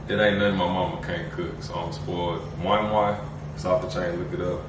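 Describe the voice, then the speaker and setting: Deep-voiced man, roomy and distant. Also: level tone, brisk. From Nigeria. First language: Igbo. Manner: laid-back, slangy, talks freely at length. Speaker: panel guest. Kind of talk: casual panel chat. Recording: echoey room, mic at a distance, noisy.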